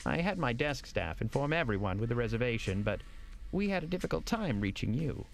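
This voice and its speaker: A suave, deferential hotel manager for an audio drama. His delivery is theatrical and extremely animated in pitch, with noticeable fry. Faint music plays behind him. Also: a little fast. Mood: smooth, reassuring. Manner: formal, courteous, theatrical.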